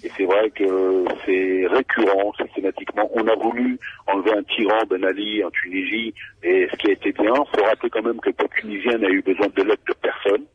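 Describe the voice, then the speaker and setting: A man from France calling in to a radio show, speaking earnestly and insistently with halting restarts. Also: fairly low pitch, fairly even intonation, a little hiss or hum. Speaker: caller. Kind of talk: phone-in call to talk radio. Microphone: telephone. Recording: muffled phone line.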